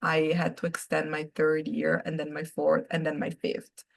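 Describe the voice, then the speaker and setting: A woman from Italy, Italian her first language, talking in English as a podcast guest. Her voice sits very low with a gravelly, fry-tinged edge. She chats in a relaxed, open way and laughs easily. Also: normal speed. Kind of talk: casual conversational interview.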